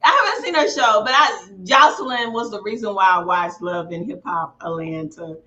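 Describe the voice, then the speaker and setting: A woman's voice: medium pitch, normal speed, sharp tone, muffled recording. An American woman hosting a casual chat, exclamatory and dramatic, acting out the remarks she quotes. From Houston, Texas, United States.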